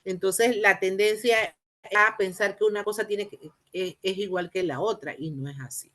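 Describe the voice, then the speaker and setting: A woman with a Panamanian Spanish accent giving an explanatory lecture, haltingly, repeating and rephrasing phrases and posing rhetorical questions. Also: medium pitch, fairly bright tone. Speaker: university lecturer in teacher training.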